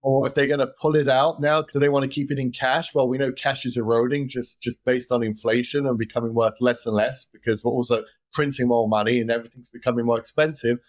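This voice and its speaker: A man with a London accent, talking as a guest in an interview with lively energy, often repeating words as he starts a sentence. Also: mid-range voice, fast, few pauses, dry recording. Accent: London English. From London, England. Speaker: guest, gold investment expert. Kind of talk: casual interview talk.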